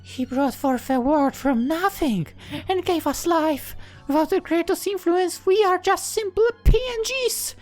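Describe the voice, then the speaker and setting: A man's voice: very high-pitched, unhurried, few pauses, faint music behind, pitched above the performer's own voice. A man voicing a stern, devout female visual-novel character who speaks in a solemn, grandiose, admonishing way. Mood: reverent.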